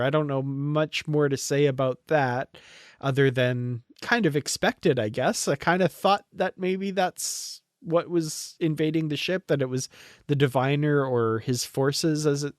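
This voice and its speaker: A man's voice: medium pitch, dry recording, studio quality. A man co-hosting a podcast, talking through a review in a casual, conversational way. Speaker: podcast co-host.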